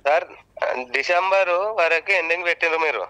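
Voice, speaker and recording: Man, higher-pitched voice, crisp and forceful, Telangana accent, insistent farmer complaining, phone call. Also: a little fast. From Telangana, India. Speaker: farmer calling about a loan waiver. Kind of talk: phone complaint, casual conversation. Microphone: telephone.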